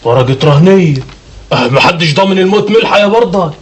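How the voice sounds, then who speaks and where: A sly village man who needles and insists. His voice sits high and swoops widely. He talks fast. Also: lively intonation, a little hiss or hum. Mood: needling. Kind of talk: TV drama acting.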